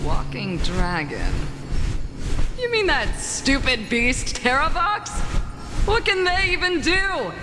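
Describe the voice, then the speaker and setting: A woman playing a blunt, incredulous military officer in a dramatic game, brisk and formal in manner. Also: sits high, big pitch swings, heavily acted. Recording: long hall reverb, music underneath.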